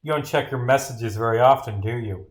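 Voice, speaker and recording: A man's voice: mid-range voice, a little fast, natural voice. A man acts out a scatterbrained video game detective. He rambles with cocky confidence, gets sidetracked easily and sounds muddled by his own case. He keeps pushing on regardless. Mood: confident.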